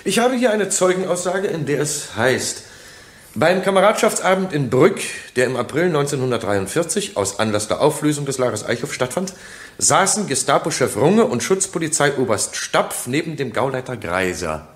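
A man's voice: a little high, very animated, normal speed. An actor playing a skeptical male public prosecutor, formal and incisive, in a German courtroom radio play. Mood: probing.

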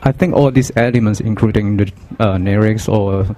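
Soft-spoken Hong Kong-accented young man. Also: on the low side. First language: Cantonese. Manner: hesitant, halting, soft-spoken. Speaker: guest artist. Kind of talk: casual interview talk. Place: art fair booth, indoors. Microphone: handheld mic.

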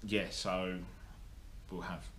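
A young man talks straight to a camera in his workshop, blunt and rambling, with plenty of ums and false starts. His voice sits very low and he takes his time. The room rings with echo over a faint hum. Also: level tone, slow pace, fairly bright tone. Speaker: motorsport vlogger. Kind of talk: casual update to camera. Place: indoor workshop. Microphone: camera in front of him.

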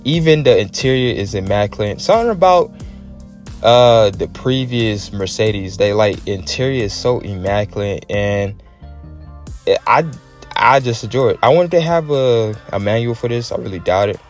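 A man's voice: on the low side, lively intonation, normal speed. An American man hosting a car channel, giving enthusiastic, slangy, casual commentary as a voice-over. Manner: enthusiastic, informal slangy talk. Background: background music.

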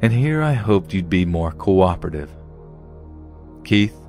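Guy reading as a smug teen bully, deep slow sneer, soft music under. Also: very animated, heavily acted. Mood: menacing. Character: menacing teenage male bully leader, smug.

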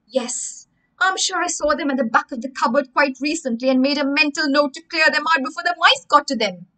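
A fussy, rambling older male craft teacher with an Indian English accent, stumbling over his words as he lists what went missing, as a woman storyteller puts him on. He sounds a little high and rather bright for a man. The lines come from a children's story read aloud. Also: a little fast, low-quality recording. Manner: rambling, stuttering restarts.

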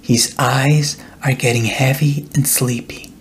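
Slow-paced middle-aged man reading a bedtime story. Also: mid-range voice, a little hiss or hum. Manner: deliberate, expressive storytelling. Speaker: author reading own children's book.

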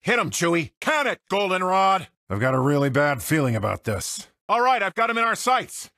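An actor playing a cocky, grumbling smuggler pilot in a video game, with an American accent and short sardonic quips. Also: male voice, high voice, big pitch swings, normal speed, pushed and bright, no room sound, studio quality, heavily acted. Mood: urgent. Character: cocky, wry male smuggler pilot, grumbling reluctant hero. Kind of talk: video game character voice lines.

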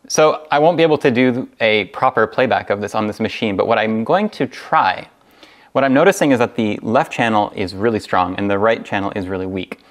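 A man's voice: lowish voice, brisk. A young man giving a casual hands-on tutorial, thinking aloud in a slightly hesitant way. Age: young adult. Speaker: hobbyist host demonstrating tape transfer. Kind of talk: casual tutorial vlog talk. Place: small furnished rooms at home, indoors.

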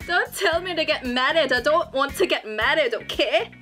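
A woman's voice: high voice, animated, moderate pace, bright, forceful tone, faint music behind. A woman voicing a rebellious young cartoon princess, defiant and whiny.

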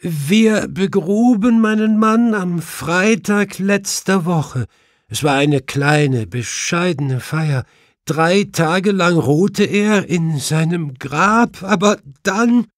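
A man voicing a brittle, high-pitched grieving widow. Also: expressive, no echo, studio quality. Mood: sobbing. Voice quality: brittle, tearful voice. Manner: halting, sobbing. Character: grieving middle-aged widow, sobbing and shaken.

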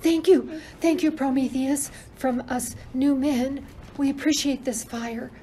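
A woman's voice: high-pitched, fairly even intonation, unhurried, mellow. A woman playing a simple, eager newly created human in an amateur radio play. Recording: faint background noise.

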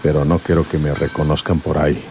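A man's voice: extremely low pitch, little pitch movement, fairly quick, lo-fi sound. An actor with a Mexican accent playing a protective man in a radio serial. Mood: reassuring. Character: protective man disguised as an old father. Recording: noisy old radio drama recording.

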